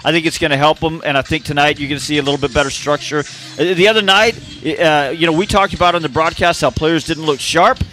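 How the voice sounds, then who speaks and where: Folksy, bright-toned male soccer analyst. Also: on the high side, fast talker, low-quality recording. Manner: folksy and chatty, frequent uh fillers. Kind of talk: casual broadcast analysis.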